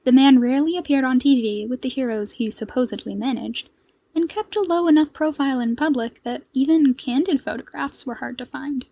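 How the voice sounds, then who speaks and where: A woman's voice: high voice, brisk, dark tone. A woman reading scripted fiction as the narrator of an audio story. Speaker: podfic narrator.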